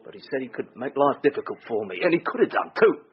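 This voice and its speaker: A man's voice: medium pitch, expressive, fast, gravelly, slightly rough, dull audio, heavily acted. An actor playing a defensive, sneering British man under police questioning in a radio drama. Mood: defensive, protesting.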